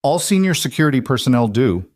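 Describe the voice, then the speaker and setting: A man voicing a brisk, matter-of-fact security staffer in an audiobook, factual and clipped. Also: mid-range voice, sing-song, darkish tone, no echo, studio quality, voice pushed up, natural voice. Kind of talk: audiobook character dialogue.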